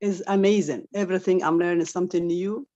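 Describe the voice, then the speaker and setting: Woman, native Arabic speaker, lower register, halting repetitive English, giving a personal testimonial over a dull online call. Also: steady tone, dark tone. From Somali family, grew up in Saudi Arabia. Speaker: guest member giving a testimonial. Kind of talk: unscripted testimonial. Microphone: video call.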